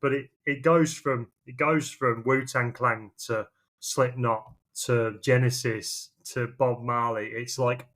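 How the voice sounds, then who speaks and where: A man's voice: medium pitch, fairly even intonation, slow, fairly bright tone. A man, talking informally and in a rambling way as a podcast co-host. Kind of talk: casual chat.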